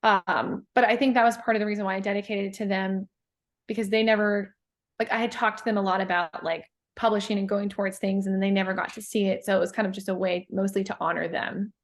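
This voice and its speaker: A woman poet talking in a casual interview, thoughtful and halting, with many fillers and restarts. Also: medium pitch, steady tone. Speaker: guest poet.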